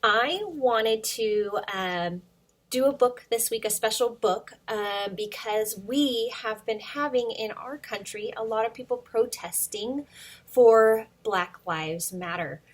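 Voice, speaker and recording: A woman's voice: on the high side, takes it slowly. A woman speaking simply and encouragingly to children as an informal story time reader and teacher. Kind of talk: informal talk and storybook read-aloud for children.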